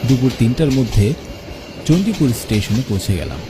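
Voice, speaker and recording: A man's voice: mid-range voice, sing-song, brisk, lightly acted. A man playing an earnest postmaster, narrating his own ghost story expressively in a scripted cartoon. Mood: resigned, steady. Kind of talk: first-person scripted cartoon horror narration. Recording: music behind the voice.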